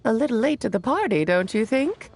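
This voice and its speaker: A woman's voice: a little high, big pitch swings, brisk, a little mellow, slightly rough, no room sound. Merry teasing witch. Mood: amused. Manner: playful, teasing, theatrical. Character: playful ancient witch, merry and theatrical. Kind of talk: video game voice acting.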